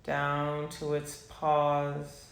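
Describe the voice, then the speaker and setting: A woman leads a guided meditation in a roomy, echoing space. She speaks very slowly, leaving long pauses between soft phrases. Her voice sits in a low register and often settles into a gravelly creak. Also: monotone. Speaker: meditation teacher.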